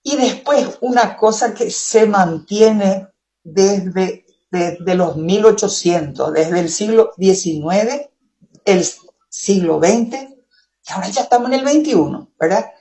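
A woman's voice: mid-range voice, takes it slowly, mellow. Paraguayan woman in conversational discussion. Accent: Paraguayan Spanish. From Chaco, Paraguay. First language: Guaraní. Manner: opinionated, frequently seeks agreement with tag questions. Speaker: interviewer.

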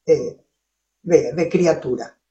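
A woman's voice: sits low, slow, mellow, muffled recording. A woman from the Chaco with a Paraguayan Spanish accent and Guaraní as her mother tongue, discussing as an interviewer and often seeking agreement. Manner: opinionated, frequently seeks agreement with tag questions.

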